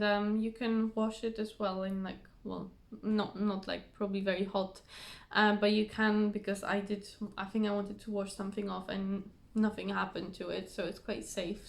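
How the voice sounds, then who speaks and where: A woman's voice: mid-range voice, creaky, fairly bright tone, reverberant. A woman losing her voice from covid, chatting casually and rambling with many ums as she shows her stitching progress. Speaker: cross stitch vlogger.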